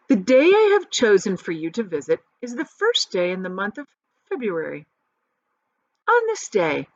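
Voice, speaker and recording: A woman teacher reading a children's book aloud with expressive, softly varied storytelling. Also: mid-range voice, dry recording. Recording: dry, dull lo-fi audio.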